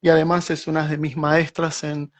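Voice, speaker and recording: A man's voice: a little high, completely flat delivery. Reflective Argentine man on a video call. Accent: Argentine Spanish. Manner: reflective, with frequent restarts and slow stretches. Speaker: guest writer. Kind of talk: casual interview answer.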